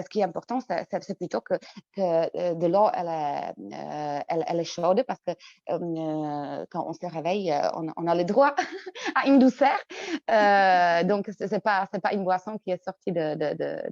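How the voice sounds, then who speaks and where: Hesitant Czech-accented woman, lowish voice. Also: darkish tone, no echo, lo-fi sound. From Czech Republic. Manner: hesitant, many fillers and repeated words. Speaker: guest. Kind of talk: interview answers.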